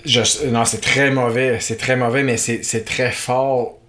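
A man's voice: mid-range voice, flat delivery, a little slow, a bit bright. Teasing Québécois man podcasting. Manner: teasing, playful, drifts into asides. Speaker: podcast co-host. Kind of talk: casual chat.

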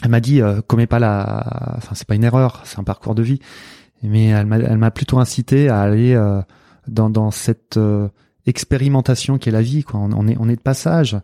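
A man's voice: fairly low pitch, fairly even intonation, a little fast, gravelly, warm tone, no room sound, studio quality. A middle-aged man with a Marseille accent, speaking as an interview guest and coach, hesitant with many fillers and restarts. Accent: Marseille French. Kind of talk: casual interview talk.

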